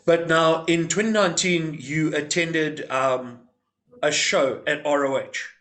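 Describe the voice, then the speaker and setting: A man interviewing a guest, asking short, halting questions between brief affirmations. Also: fairly high pitch, a bit bright.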